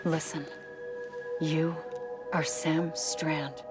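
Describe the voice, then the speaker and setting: An actress playing a gentle, solemn woman leader in a cinematic game, speaking in a measured, earnest way. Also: sits low, little pitch movement, loud music behind the voice. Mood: wistful, intimate. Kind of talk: cinematic game cutscene acting.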